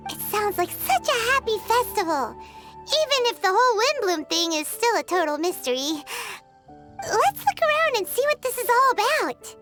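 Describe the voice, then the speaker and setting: A woman voicing a tiny, childlike, cheeky fairy companion in a video game, with a squeaky voice. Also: big pitch swings, moderate pace. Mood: excited, curious. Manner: refers to herself by name. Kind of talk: English video game voice acting. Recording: music behind the voice.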